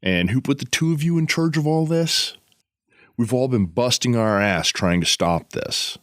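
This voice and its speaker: A man's voice: medium pitch, animated, normal speed, a bit bright, dry recording, clean studio sound, close to an everyday voice. A man playing a loyal, frustrated ally in an audio drama.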